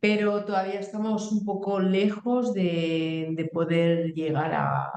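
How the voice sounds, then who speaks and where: A woman's voice: mid-range voice, level tone, unhurried, a little mellow, a little hiss or hum. Woman, doctor, hesitant, echoey room. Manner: hesitant, many fillers and restarts. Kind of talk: explaining on a talk show. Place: clinic. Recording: roomy echo.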